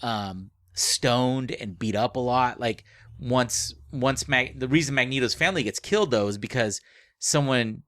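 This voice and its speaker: A man co-hosting a casual book discussion podcast, speaking haltingly and often circling back to rephrase. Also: on the low side, fairly bright tone, no echo, clean studio sound. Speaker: podcast co-host.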